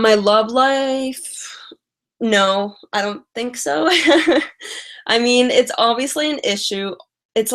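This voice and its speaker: A young woman vlogger chatting casually on a live stream, stuttering and repeating the first sounds of words. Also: medium pitch.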